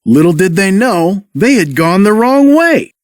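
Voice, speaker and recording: A male narrator reads a children's story in an expressive, unhurried way. His pitch is a little high and swings widely, and the recording is dry and close. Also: no echo. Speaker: audiobook narrator.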